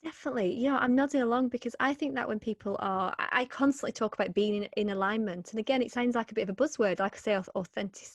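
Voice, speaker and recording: A woman's voice: a little high, quick pace, hardly any pauses, no room sound. Woman podcast host, eager and chatty, close dry mic. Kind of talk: casual interview chat.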